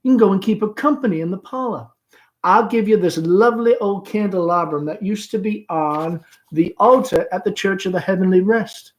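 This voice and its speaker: A man takes on a middle-aged mother in a stage play. The voice is raised in pitch and gushes rhapsodically. She chatters effusively, playful and flirtatious as a hostess. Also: moderate pace, natural voice. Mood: chatty, bossy. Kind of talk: play read-aloud.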